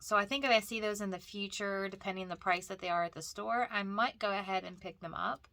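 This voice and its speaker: A woman's voice: mid-range voice, fast, bright, forceful tone. Chatty nasal woman with a cold. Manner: chatty, rambling, frequent fillers and restarts. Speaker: thrift store vlogger. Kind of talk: casual narration over store footage.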